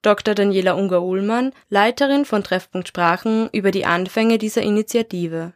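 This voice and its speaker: A woman narrating a scripted university radio report in a measured, even delivery. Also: medium pitch.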